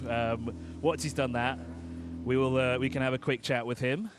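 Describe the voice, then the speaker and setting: A man reporting live from an outdoor race pit lane, chatty and quick with joking asides. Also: mid-range voice, steady tone, noisy background. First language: English.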